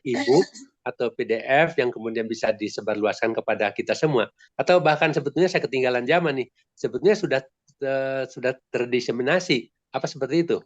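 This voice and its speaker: A man's voice: mid-range voice, a little fast, no echo. Nasal congested man, polite rambling questioner, muffled dry call audio. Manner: polite, rambling, many restarts. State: nasal and congested from a sore throat. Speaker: discussion participant. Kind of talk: online book discussion. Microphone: video call.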